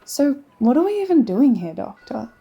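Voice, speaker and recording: A woman's voice: high-pitched, sing-song, moderate pace, creaky, slightly rough, lo-fi sound, faint music behind, exaggerated voice. A woman playing a dry, sardonic, teasing young adventuring companion in an audio drama. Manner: wry, sardonic.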